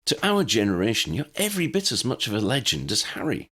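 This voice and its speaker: A man's voice: medium pitch, wildly swooping pitch, quick pace, pushed and bright, breathy edge, voice pushed up, full character voice. A man voicing a wry, confident adult male wizard in a storybook, with drawling emphasis. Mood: enthusiastic. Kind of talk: storybook character acting.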